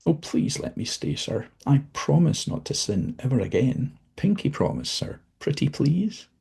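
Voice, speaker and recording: A man's voice: medium pitch, sing-song, creaky, warm tone, slightly rough, pitched above the performer's own voice, full character voice. A man voicing a frightened young female fallen angel who pleads and stammers. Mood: pleading. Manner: begging, halting and breathless.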